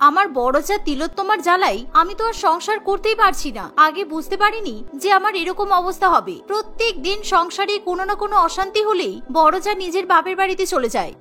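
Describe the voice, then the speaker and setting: A woman voicing a sharp-tongued, complaining young daughter-in-law in a cartoon, grumbling and sarcastic. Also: extremely high pitch, exaggerated voice.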